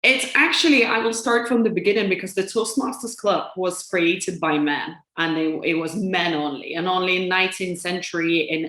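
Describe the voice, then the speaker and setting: Young lithuanian-accented woman, lowish voice, lots of uh and you know, echoey room. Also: a bit bright. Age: young adult. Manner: hesitant, many fillers and restarts. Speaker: guest. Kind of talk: interview answers.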